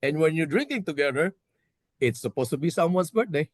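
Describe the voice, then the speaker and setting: Animated Filipino man, lively pitch. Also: a little fast, no room sound. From Philippines. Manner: playful, explaining with many "right?" tags. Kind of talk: casual chat.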